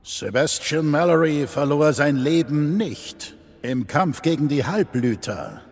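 An actor playing a stern, authoritarian Lord Chancellor with formal, accusatory delivery in an audio drama. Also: male voice, on the high side, animated, a little slow. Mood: cold accusation. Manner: formal, accusatory, imperious declamation. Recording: long hall reverb.

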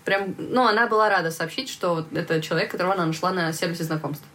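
Fast-talking young woman hosting a podcast. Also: fairly low pitch, fairly bright tone. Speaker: podcast host. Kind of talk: casual podcast chat.

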